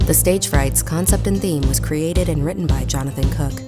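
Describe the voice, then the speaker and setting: A woman's voice: low voice, moderate pace. A woman reading scripted credits as an announcer. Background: loud guitar music.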